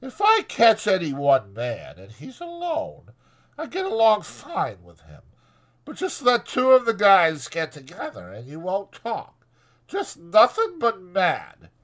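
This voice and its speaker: High, taunting young ranch wife. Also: male voice, huge pitch swings, slow, fairly bright tone, full character voice. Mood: amused, scornful. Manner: taunting, pouty, rural slang. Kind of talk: dramatized audiobook character voice.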